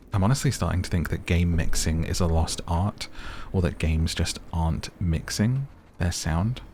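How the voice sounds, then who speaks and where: A soft-spoken man giving gentle, chatty, relaxed ASMR commentary over a game, as the host of the video. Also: low-pitched, unhurried, a little hiss or hum. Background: game music.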